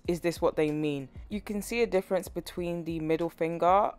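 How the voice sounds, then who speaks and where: A young woman with a low voice that is a little hoarse from a sore throat talks through a nail tutorial voiceover in a chatty, rambling way. Faint music plays under her. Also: normal speed, a little mellow. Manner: chatty, rambling, self-deprecating with laughs. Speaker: nail art tutorial host. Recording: voice-over with faint background music.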